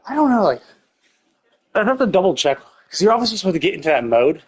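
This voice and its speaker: A man's voice: high voice, sing-song, quick pace, slight background noise. A man giving casual, sweary live game commentary as a streamer, puzzling things out aloud. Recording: game music behind the voice.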